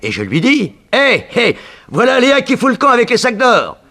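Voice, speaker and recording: An actor playing a sly, vengeful gangster in a 1960s French radio crime serial, with an excitable, sneering, slangy delivery. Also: male voice, very high voice, moderate pace, full character voice. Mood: gloating, scheming. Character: gloating, vengeful male gangster, sly villain back from the gallows.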